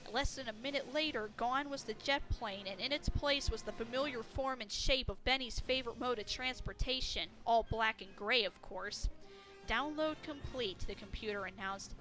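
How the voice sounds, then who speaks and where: A woman's voice: fairly high pitch, pushed and bright. A woman reading a story aloud as a dramatic, scripted narration. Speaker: fan fiction narrator.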